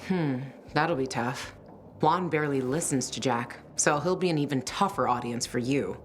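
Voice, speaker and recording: An actress playing a wry, streetwise woman in a video game, talking in a casual, sardonic and unhurried way. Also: very deep voice, a little hiss or hum. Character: wry, streetwise woman contact, cool and teasing schemer. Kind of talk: video game dialogue acting.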